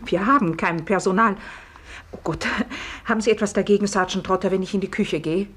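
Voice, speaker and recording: A woman's voice: medium pitch, a little fast, fairly bright tone, lightly acted. A woman plays an anxious guesthouse hostess in a 1950s radio mystery. Her manner is nervous and questioning, her voice of average height. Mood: flustered. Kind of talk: 1950s radio play acting.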